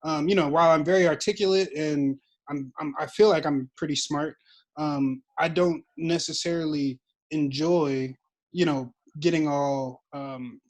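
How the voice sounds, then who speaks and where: A man's voice: a little high, fairly even intonation, a little slow. A man talking casually and reflectively, feeling his way toward questions as he goes. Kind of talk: casual conversation.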